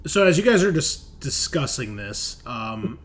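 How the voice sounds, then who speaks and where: A man narrating a tabletop game as game master, descriptive and improvised, pausing as he picks his words. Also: mid-range voice, very animated, a bit bright. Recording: clear background noise.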